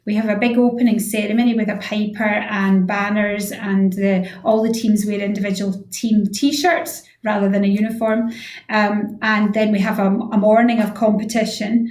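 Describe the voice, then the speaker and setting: A woman's voice: medium pitch, flat delivery, moderate pace, a little mellow. An echoey recording of a woman with a mid-range voice, talking as a guest on a radio chat show. She explains her work informally and often affirms what she is asked. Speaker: head of physiotherapy, interview guest. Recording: echoey room sound.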